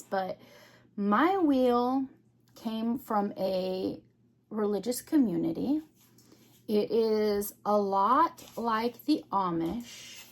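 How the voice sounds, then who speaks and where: A woman giving an unscripted, casual hobby vlog, thinking aloud in short, halting phrases. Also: mid-range voice. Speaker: craft vlogger.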